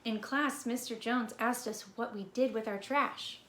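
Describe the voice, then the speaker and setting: A woman reading a picture book aloud to young children in an expressive, deliberate storytelling manner. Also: on the high side. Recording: roomy echo with background noise.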